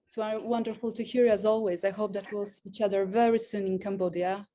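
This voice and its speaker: A woman hosting an online event, introducing a guest in an effusive, earnest and halting way. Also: medium pitch, steady tone, dark tone. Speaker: event host, moderator.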